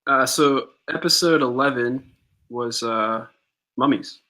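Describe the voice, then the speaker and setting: Bright-voiced young man telling a story. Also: mid-range voice. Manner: relaxed, recounts stories with fillers and pauses. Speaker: podcast co-host. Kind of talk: casual live-stream chat and storytelling.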